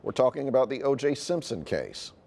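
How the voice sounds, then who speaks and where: A man reads television news in an American accent. His pitch is in the middle range, and the delivery is that of a scripted anchor. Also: big pitch swings, fairly quick, gravelly, a little mellow.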